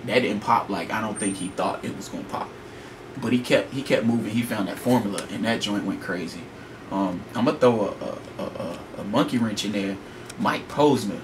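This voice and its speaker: A man's voice: lowish voice, lively intonation, normal speed, far from the mic. An American man, a guest hip-hop artist, talking in a slangy, informal, rambling way in a casual radio interview. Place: radio studio. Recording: noisy studio, off the mic.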